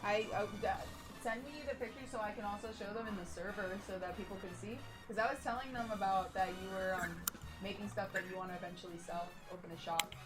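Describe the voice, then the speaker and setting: A woman's voice: medium pitch, steady tone, fast, low-quality recording. A woman hosting a stream, chatting casually with a giggly, rambling manner. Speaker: streamer host. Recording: music playing behind.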